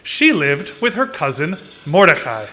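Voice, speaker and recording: A man narrating a children's puppet show in deliberate, expressive storybook style. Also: a little high.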